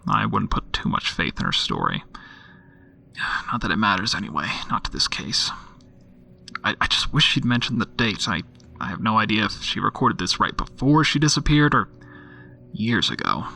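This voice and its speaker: A man's voice: mid-range voice, very animated, moderate pace, vocal fry, sharp tone, full character voice. An actor playing a skeptical, weary male police detective who muses dryly aloud in an audio drama. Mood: skeptical musing. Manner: dry, grumbling, musing aloud. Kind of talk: audio drama, naturalistic monologue into recorder.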